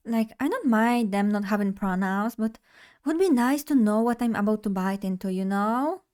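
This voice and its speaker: A woman's voice: mid-range voice, lively intonation, moderate pace, darkish tone. Young woman, bubbly casual streamer talking to chat. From Poland. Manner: bubbly, chatty, addresses chat directly. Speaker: vtuber streamer.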